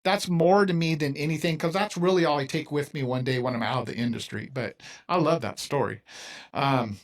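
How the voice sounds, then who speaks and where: A man in his fifties with a somewhat high voice hosts a casual chat. He talks in an emphatic, folksy way, often slipping into quick acted-out bits of other people's lines. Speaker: podcast host.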